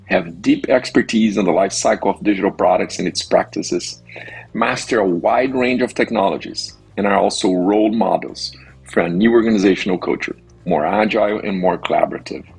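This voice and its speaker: A man's voice: on the low side, fairly quick, vocal fry, breathy edge, low-quality recording. A man delivering a prepared corporate presentation as a company executive.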